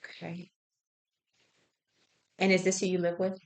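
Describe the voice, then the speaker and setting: A woman's voice: lowish voice, completely flat delivery, unhurried, darkish tone. A woman working as a healthcare intake interviewer, asking health history questions conversationally and methodically, checking each answer.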